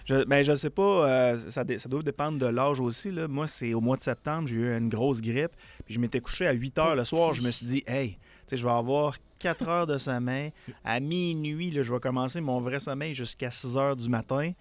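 A man in his forties with a Quebec French accent, co-hosting radio and recounting anecdotes in a relaxed, chatty way. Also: mid-range voice, moderate pace, no echo. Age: 40s. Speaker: radio host. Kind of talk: casual radio chat.

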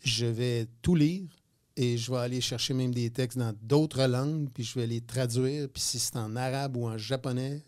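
A man's voice: mid-range voice, moderate pace. Quebec entrepreneur, 50s, male, chatty storyteller, stumbles and repeats words on air. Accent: Quebec French. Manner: animated, repeats words, many hesitations. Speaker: guest entrepreneur. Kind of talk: radio interview, casual storytelling.

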